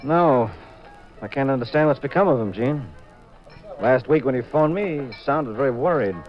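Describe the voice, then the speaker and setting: Actor as wisecracking, doubting private-eye husband, medium pitch, very animated, old muffled radio mystery. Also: male voice, close to an everyday voice. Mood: concerned. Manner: dry, sardonic, brisk. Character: skeptical, teasing detective husband. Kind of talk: 1950s radio drama acting. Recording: muffled vintage 1950s radio recording with music.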